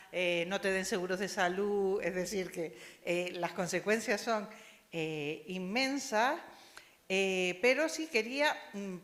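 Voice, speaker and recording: A woman's voice: mid-range voice, fairly bright tone. A woman from Spain with a Spanish accent, giving a discursive academic comment at a university panel and often adding tag questions. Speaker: audience member asking questions at an academic panel. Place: university lecture hall. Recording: long hall reverb.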